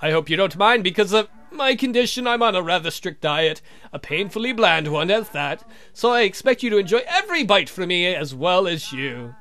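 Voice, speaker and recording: A man's voice: high-pitched, big pitch swings, moderate pace, sharp tone, faint music behind, full character voice. A man voicing a clumsy, flirtatious vampire count from a game, grumbling and swearing theatrically. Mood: suave flirting.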